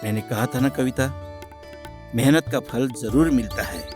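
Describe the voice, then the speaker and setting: A man's voice: mid-range voice, a little slow, a little mellow, soft background music, lightly acted. A man playing a proud, supportive husband in a moral-story cartoon, speaking earnestly and firmly with challenging questions. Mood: encouraging. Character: proud, supportive young husband, firm and principled.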